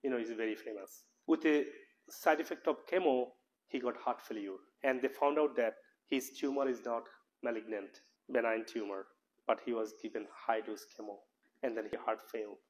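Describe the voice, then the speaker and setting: A man's voice: mid-range voice, moderate pace, a little mellow, low-quality recording. A man with a Bangladeshi accent giving an informal health lecture, speaking earnestly in loose, repetitive English phrasing. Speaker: professor and health practitioner giving a talk.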